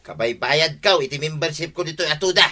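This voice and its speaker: A man's voice: fairly high pitch, brisk, bright, forceful tone. A man with an Ilocano accent playing a roguish, bantering tricycle driver husband in a comedic radio drama, with music behind. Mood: defensive.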